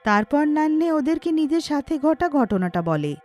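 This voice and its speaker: A woman's voice: high-pitched, big pitch swings, moderate pace, darkish tone, faint music behind. A woman narrating a scripted cartoon story in a dramatic storytelling style.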